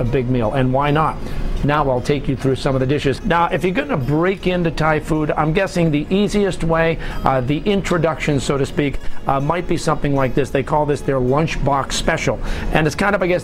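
A middle-aged man hosting a food show, chatty and enthusiastic, with lots of filler words. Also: a little high. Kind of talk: upbeat restaurant show presenting. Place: restaurant dining room, then TV studio set.

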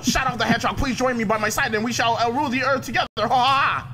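A man's voice: extremely high pitch, steady tone, fast talker, slight background noise. A man voicing a pompous, easily enraged middle-aged mad scientist in an improvised comic game fan dub, theatrically moving between muttering and shrieking. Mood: scheming. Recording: loud game music behind.

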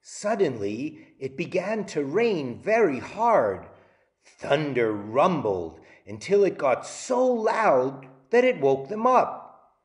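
A middle-aged man reading aloud to children as a teacher, in a playful, deliberate, expressive way. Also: on the high side. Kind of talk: children's story time read-aloud.

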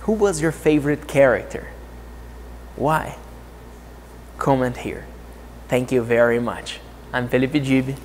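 Slow Brazilian man teaching English. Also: mid-range voice, long reverb tail, a little hiss or hum. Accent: Brazilian Portuguese. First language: Portuguese. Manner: deliberate, friendly teaching delivery. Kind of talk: slow, clear English lesson to camera. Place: outdoor courtyard of a TV set.

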